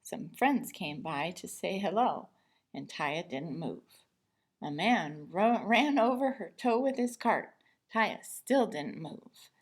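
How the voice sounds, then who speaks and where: A woman reading a children's picture book aloud as an expressive storyteller, with deliberate emphasis. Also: lowish voice, slightly rough.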